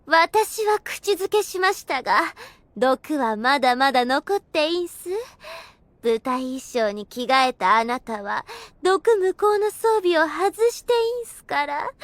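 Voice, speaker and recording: A woman playing a scheming, excitable undead girl with affected courtly speech in an anime audio drama. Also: high voice, measured pace. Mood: smug.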